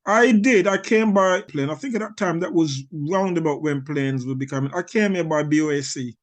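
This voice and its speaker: A man's voice: a little high, moderate pace. A man in his sixties, born in Barbados, answering interview questions as an author, rambling with many restarts and small chuckles. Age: 60s.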